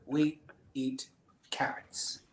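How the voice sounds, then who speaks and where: A woman's voice: very deep voice, level tone, very slow, reverberant, in a whisper, exaggerated voice, low-quality recording. A woman voicing a storybook father rabbit who is firm and matter-of-fact.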